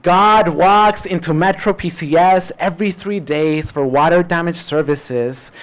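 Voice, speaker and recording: A man with a slightly high voice performs spoken-word poetry. He shapes each phrase deliberately, leaning on key words with emphasis. Pauses between phrases give the reading a dramatic weight. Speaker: poet.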